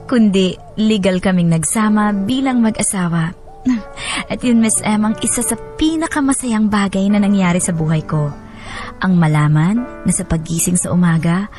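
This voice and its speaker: A woman's voice: medium pitch, darkish tone, lightly acted. A woman playing a happily married young wife, narrating her sentimental letter in a confiding way for a radio drama. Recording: voice over background music. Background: music.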